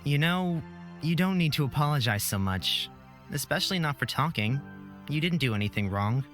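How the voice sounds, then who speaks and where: A reserved young man , put on by a woman, talks in a lowered voice. He is earnest and reassuring, a visual novel hero with soft music behind him. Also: animated, normal speed. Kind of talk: visual novel character reading. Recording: background game music.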